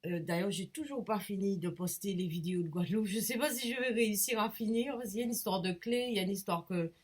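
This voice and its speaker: A woman's voice: medium pitch, fast talker, slightly rough. A woman with a cold talks to her viewers in a rambling, casual way. She hesitates often, restarts her sentences and searches for words. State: has a cold. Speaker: painter and vlogger. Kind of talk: rambling casual talk to camera.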